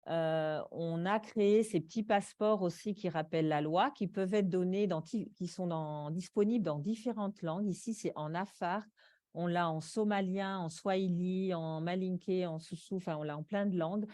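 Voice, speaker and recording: A woman's voice: fairly low pitch, normal speed, warm tone, no echo, clean studio sound. A woman giving an explanatory conference presentation, speaking hesitantly into a microphone. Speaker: presenter from an advocacy organisation.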